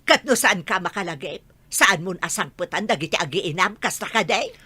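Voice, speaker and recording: Sharp, scolding older Ilocano mother played by a woman. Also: medium pitch, lively intonation, moderate pace, husky. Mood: indignant scolding. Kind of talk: radio drama acting.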